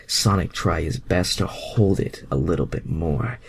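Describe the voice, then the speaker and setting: A man's voice: deep voice, a little slow, vocal fry, slightly rough. A man reading fan fiction aloud as an amateur narrator, with sweary, self-mocking, exasperated asides.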